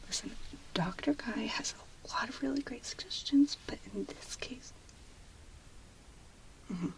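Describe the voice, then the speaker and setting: A woman speaks in a breathy whisper, in the style of an ASMR role play. Her delivery is slow and soft, broken into short murmured phrases with pauses between them. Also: on the high side, animated, rough recording. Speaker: ASMR performer.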